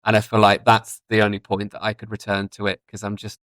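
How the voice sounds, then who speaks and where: A man's voice: lowish voice, completely flat delivery, fast, fairly bright tone, no room sound. A man in his thirties chatting casually and giving his opinions as a podcast guest. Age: 30s.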